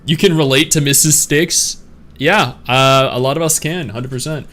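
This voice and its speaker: A laid-back man talking over a live stream, answering questions from his chat in a loose, opinionated way. His voice sits at an ordinary middle pitch, and faint music plays under him. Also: moderate pace. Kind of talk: casual chat reading live chat.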